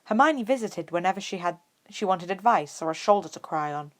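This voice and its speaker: A woman's voice: on the low side, animated, a little fast. A woman narrating fan fiction as an audiobook reader. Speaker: podfic reader.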